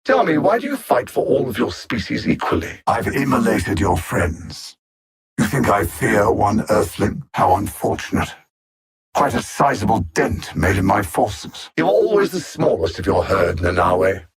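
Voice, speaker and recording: A man voicing a cold, arrogant alien conqueror in a video game, articulate, theatrical and condescending, with a husky voice. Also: lowish voice, big pitch swings, a little slow, exaggerated voice. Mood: condescending taunting. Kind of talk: video game villain voice lines.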